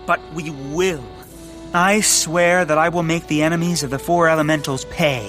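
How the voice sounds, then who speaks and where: An actor playing a pompous rich eccentric. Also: male voice, on the high side, fairly even intonation, normal speed, a bit bright, soft background music, close to an everyday voice. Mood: grandiose. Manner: grandiose, speechifying, flustered.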